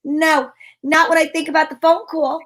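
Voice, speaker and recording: A woman playing a sharp-witted, wisecracking private detective in an old-time radio drama, talking in breezy slang. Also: high voice, flat delivery, moderate pace. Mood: wry, explaining.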